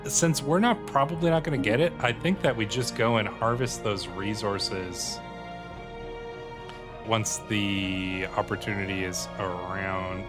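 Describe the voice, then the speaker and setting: A man's voice: fairly low pitch, fairly even intonation, unhurried. A man hosting a gaming video with casual, running commentary on his play. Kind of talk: casual gameplay commentary with read-aloud in-game text. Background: music.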